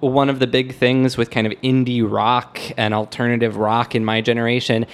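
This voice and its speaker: A man's voice: medium pitch, flat delivery, normal speed. A man co-hosting a music podcast, speaking informally and reflectively with hesitant phrasing. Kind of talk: casual music discussion.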